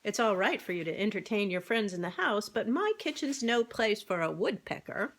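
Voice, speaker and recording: A plump, homely farmwife from a children's storybook, acted by a woman reader. She is folksy and brisk, kindly yet firm about her house. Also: mid-range voice, lively intonation, a bit bright. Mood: brisk, kindly scolding. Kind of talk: children's storybook read-aloud.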